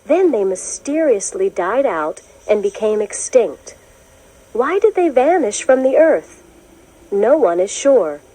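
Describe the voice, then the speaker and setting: A woman narrating a children's educational book, reading in a clear, measured, explanatory way as if to young listeners. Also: fairly high pitch, big pitch swings, warm tone, rough recording. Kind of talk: scripted storybook narration.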